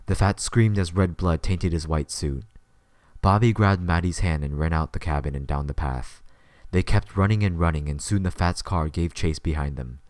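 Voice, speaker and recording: A man's voice: deep voice, a little mellow, dry recording. A man reading scripted story narration as the narrator of an audio drama.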